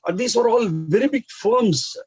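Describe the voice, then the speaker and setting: A man with an Indian English accent explaining as a chartered accountancy educator, halting with many fillers in an earnest advisory way. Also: high-pitched, animated, a little mellow, slightly rough, dry recording, lo-fi sound. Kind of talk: explanatory interview answer.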